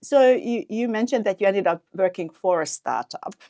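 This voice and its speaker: A woman's voice: medium pitch, animated, moderate pace, no room sound. A woman, originally from Hungary, hosting a podcast interview in an enthusiastic, engaged way and asking follow-up questions. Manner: warm, enthusiastic, laughs often. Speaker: podcast host. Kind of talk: interview host.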